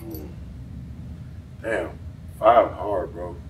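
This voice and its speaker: A man's voice: low voice, drawn-out words. A man in his thirties, a coach chatting as a guest in casual slang, often saying bro. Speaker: football coach and trainer, guest. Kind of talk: casual interview chat. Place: indoor gym, podcast set. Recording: echoey, noisy gym, distant mic.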